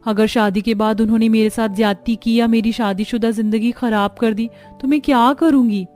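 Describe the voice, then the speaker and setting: A woman plays a soft-hearted young bride from a family cartoon. She is anxious and pleading, with a fairly high voice over background music. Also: fairly even intonation, moderate pace, lightly acted. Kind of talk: cartoon family story acting.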